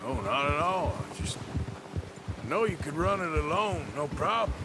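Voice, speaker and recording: A man's voice: on the high side, animated, normal speed, noisy background, natural voice. An actor playing a weary, loyal outlaw in his thirties in a video game, with a dry, sardonic, laconic manner. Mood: reflective. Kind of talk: video game cinematic acting.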